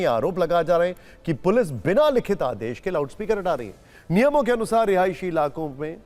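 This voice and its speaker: A man's voice: fairly high pitch, a little mellow. A man presenting television news as an anchor, explaining points with emphasis.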